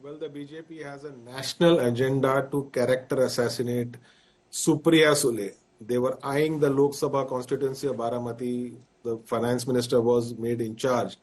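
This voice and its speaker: A man's voice: medium pitch, steady tone, moderate pace, darkish tone. A man with an Indian English accent, a party spokesperson on a debate panel, combative and repeating points for effect. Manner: combative, rhetorical repetition.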